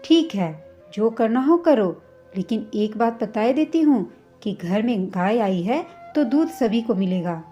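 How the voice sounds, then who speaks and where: A stingy, complaining older mother-in-law from a family story, acted out by a woman narrator. The voice sits fairly high and has a soft, mellow edge even as it scorns and bosses. The recording is a little dull, with music underneath. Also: a little slow. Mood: grudging, bossy.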